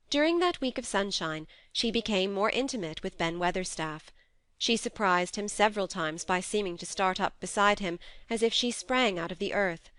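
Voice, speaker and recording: A woman reading a classic novel aloud as a steady, measured audiobook narrator on a close mic. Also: medium pitch, smooth, dry recording.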